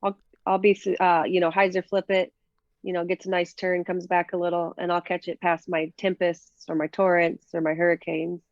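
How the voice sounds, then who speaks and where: Chatty minnesota lady in an interview, kinda low voice, lots of ums, dry sound. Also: level tone, normal speed, no room sound, lo-fi sound. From Minnesota, USA. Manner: chatty, many fillers, laughs easily. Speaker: professional disc golfer, guest. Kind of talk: casual interview chat.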